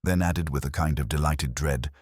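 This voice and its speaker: Very low male voice, scripted mystery narration, brooding, introspective, measured delivery, dry close sound. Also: a little mellow, husky, dry recording. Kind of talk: scripted first-person mystery narration, literary audiobook.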